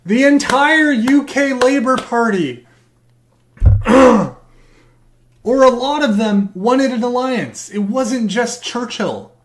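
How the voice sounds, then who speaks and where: Hoarse, combative man ranting live. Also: very high-pitched, animated, takes it slowly. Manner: combative, swearing, emphatic rants. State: losing his voice. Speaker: livestreamer reacting to a video. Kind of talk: heated live reaction commentary.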